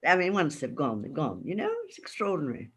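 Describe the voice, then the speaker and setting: A woman's voice: deep voice, sing-song, quick pace, a bit bright, slightly rough. An older woman chatting frankly as an interview guest over a video call. She laughs often. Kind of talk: casual interview chat.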